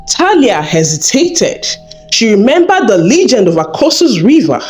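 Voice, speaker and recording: Expressive woman narrating a folktale over music. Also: mid-range voice. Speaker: storyteller narrator. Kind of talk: scripted folktale narration, dramatic storytelling.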